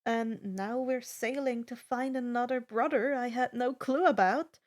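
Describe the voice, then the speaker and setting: A woman's voice: on the high side, measured pace, close to an everyday voice. A woman voicing an earnest teenage demigod boy in a casual, hesitant manner. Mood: awkward, nervous.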